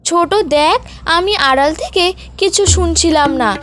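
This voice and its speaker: Woman playing a plaintive, high-voiced, long-suffering wife. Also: normal speed. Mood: hurt, protesting. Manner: plaintive, gentle, pleading. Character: meek, sorrowful, kind elder wife. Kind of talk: animated folk tale dialogue. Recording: voice over prominent background music.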